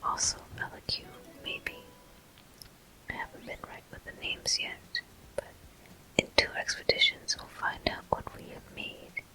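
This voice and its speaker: A person whispering gentle, unhurried ASMR commentary as a video game walkthrough host. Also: poor audio. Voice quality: soft, breathy whisper.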